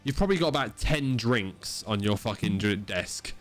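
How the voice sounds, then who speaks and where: A man's voice: fairly low pitch, animated, moderate pace, soft background music. A young man co-hosting a podcast, ribbing his friend with dry, sweary humor. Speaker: podcast co-host. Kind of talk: casual banter.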